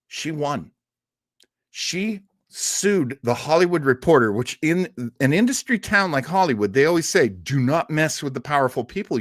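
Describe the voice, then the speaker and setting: A man's voice: on the high side, no echo, studio quality. A man hosting a casual livestream, chatting enthusiastically with many fillers and restarts. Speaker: host.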